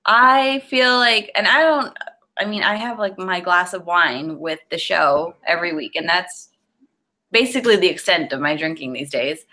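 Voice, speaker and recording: A woman in her early thirties, co-hosting a podcast from home over a video call, chatty and relaxed as she tells stories. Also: lowish voice, normal speed. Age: 30s. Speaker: podcast co-host. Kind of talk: casual chat. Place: home room with curtains. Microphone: video call webcam.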